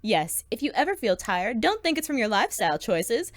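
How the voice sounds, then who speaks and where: A chirpy radio pitchwoman played by a woman with a high, very lively voice, selling with polished emphasis in old-time commercial style. The sound is dry and close. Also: fairly quick, no echo. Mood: chirpy, salesy. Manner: polished, emphatic sales patter. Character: chirpy, salesy female sponsor announcer of old-time radio. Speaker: sponsor pitchwoman.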